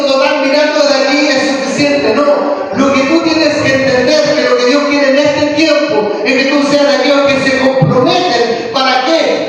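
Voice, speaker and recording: A male pastor preaching a sermon in a church, full of rhetorical questions and rising to impassioned calls. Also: extremely high pitch. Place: church hall. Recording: echoey hall from far off.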